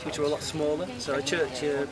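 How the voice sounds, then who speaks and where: A man's voice: on the high side, flat delivery, brisk. A British man from the UK answering questions in a casual street interview, friendly and chatty with many fillers and restarts. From United Kingdom. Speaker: tourist interviewee. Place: outdoors at a busy tourist site. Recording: distant mic with crowd noise. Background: crowd chatter.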